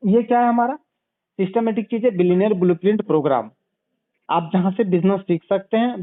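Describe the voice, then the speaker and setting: High-pitched man on tinny phone. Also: no room sound. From Bihar, India. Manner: didactic, repeats points and asks check-back questions. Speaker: sales consultant. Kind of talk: telephone sales pitch. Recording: narrow, tinny phone call recording.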